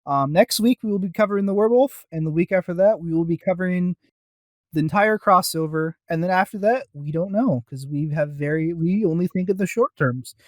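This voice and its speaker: A man's voice: sits high, brisk, dry recording. A man co-hosting a casual review podcast, talking in a rambling, self-deprecating way. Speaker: podcast co-host.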